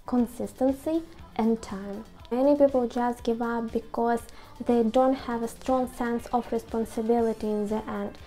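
A young woman with a fairly high, soft-edged, mellow voice presents advice to the camera. Her English has non-native phrasing, and light music plays behind her. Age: 20s. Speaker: YouTube host. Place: small quiet room at home.

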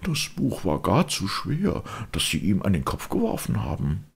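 A man plays an old villager in a children's audiobook. The voice is raised well up in pitch, husky and lively in its swings. He passes on news in a plain-spoken way. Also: normal speed, heavily acted. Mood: matter-of-fact.

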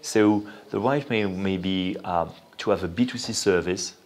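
Male interview guest, French accent, low-pitched, explains in a halting, thinking-aloud way. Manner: halting, thinking aloud with repeated "you know". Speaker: interview guest, venture capital investor. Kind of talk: spoken interview, explanatory talk.

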